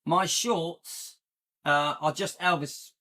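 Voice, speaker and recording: Chatty middle-aged man, bright voice. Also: steady tone, slow pace. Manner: chatty and rambling, jumps into a higher playful pitch when joking. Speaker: YouTube channel host. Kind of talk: casual live-stream chat reading viewer comments.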